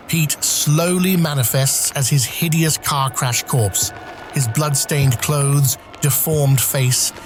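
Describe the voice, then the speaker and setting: Male screenplay narrator with music behind. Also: mid-range voice.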